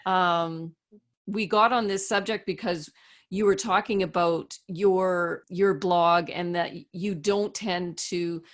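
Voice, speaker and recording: A woman's voice: lowish voice, steady tone, slow. A woman hosting a podcast interview, speaking thoughtfully and hesitantly, restarting her phrases. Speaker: podcast host. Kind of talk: conversational interview.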